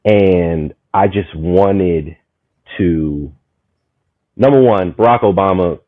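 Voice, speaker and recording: Guest: man, 30s, from Maryland, rambling storyteller, low voice, phone-quality audio, dry. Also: slow, dry recording. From Maryland, USA. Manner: animated, rambling, full of "you know what I'm saying". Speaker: guest, community market founder. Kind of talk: casual storytelling conversation.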